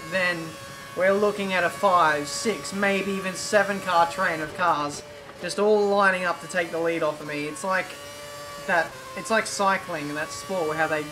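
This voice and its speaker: A man with a British accent talking over his racing game in a chatty, excitable play-by-play, his voice a little croaky. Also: sits high, poor audio. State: slightly croaky. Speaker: gaming YouTuber.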